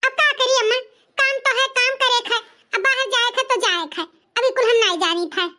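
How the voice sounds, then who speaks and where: A woman's voice: extremely high pitch, a little fast, pushed and bright. A woman voicing a sly, lazy young daughter-in-law in a cartoon comedy, wheedling and evasive, with an eastern Uttar Pradesh accent. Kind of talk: cartoon comedy dialogue in rural dialect.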